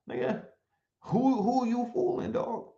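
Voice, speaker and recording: A man speaking in an animated, emphatic commentary, often throwing in rhetorical questions and sometimes reading from notes. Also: very high voice. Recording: roomy, dull lo-fi sound.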